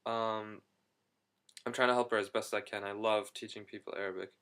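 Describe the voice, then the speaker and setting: A young man in a casual video diary, talking excitedly and rambling with quick restarts. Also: on the low side, clear, resonant tone. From United States. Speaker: vlogger.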